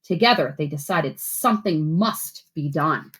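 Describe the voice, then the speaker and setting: A woman reading aloud as a teacher to schoolchildren, with expressive, deliberate phrasing. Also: deep voice, husky. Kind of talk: read-aloud storytelling and simple instructions for children.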